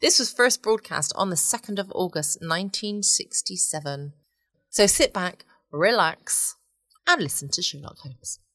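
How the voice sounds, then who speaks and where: A woman with an English accent hosting a late-night podcast from home, chatting casually in a relaxed, gently self-mocking way. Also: fairly low pitch, a little slow, no echo, clean studio sound. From England. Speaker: podcast host. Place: at home, close dry recording.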